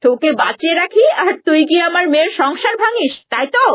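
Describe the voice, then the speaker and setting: A woman's voice: extremely high pitch, sing-song, fast talker, fairly bright tone, full character voice. A woman voicing a cruel, scheming middle-aged stepmother in a cartoon, venomous and gloating as she talks to herself. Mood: vicious. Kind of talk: cartoon voice acting. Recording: music bed underneath.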